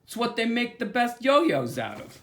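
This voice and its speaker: Man putting on a slick young boy trader, persuasive, lively pitch, storybook reading. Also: moderate pace, heavily acted. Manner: persuasive, cocky.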